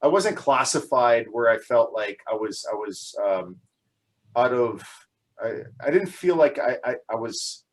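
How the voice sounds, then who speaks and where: A man's voice: medium pitch, animated, a little slow. A man in his forties, from Canada, answering interview questions in a casual, hesitant way with many fillers and restarts, often laughing. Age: 40s. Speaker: guest.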